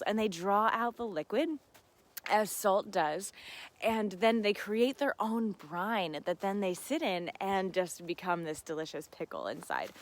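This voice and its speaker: Effusive, giggly woman chatting on a livestream. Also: medium pitch. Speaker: host of a live seed-farm Q and A. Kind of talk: casual livestream chat with viewers.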